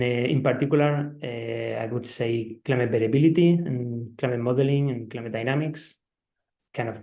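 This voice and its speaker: A man's voice: mid-range voice, gravelly, a little mellow. A man in his forties from Spain, with a Spanish accent, introducing himself on a webinar panel and often restarting his sentences. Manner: hesitant, many restarts and fillers. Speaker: climate scientist, panelist. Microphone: video call. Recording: slightly dull video-call audio.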